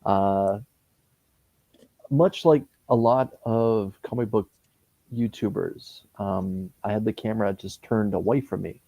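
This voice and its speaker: A Canadian man in his fifties, a comic book YouTuber talking casually in an interview, pausing often with fillers and restarts. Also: lowish voice, gravelly, warm tone, no echo. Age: 50s. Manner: hesitant, many fillers and restarts.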